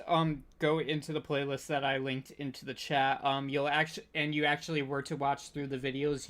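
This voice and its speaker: A man hosting an informal online panel, speaking in a halting, scattered and hesitant way. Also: mid-range voice, fairly bright tone. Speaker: panel host.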